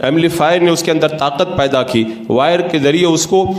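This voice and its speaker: A man's voice: on the high side, moderate pace. A man giving an explanatory religious lecture as an Islamic cleric, reasoning step by step in a didactic way with rhetorical questions. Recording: echoey, reverberant voice recording.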